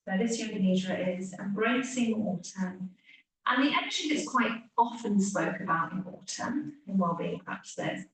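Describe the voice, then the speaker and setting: A woman teacher leading a guided relaxation meditation, speaking gently with long pauses and hushed phrase endings. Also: mid-range voice, lively intonation. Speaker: yoga nidra teacher. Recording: echoey room, distant mic, faint hiss.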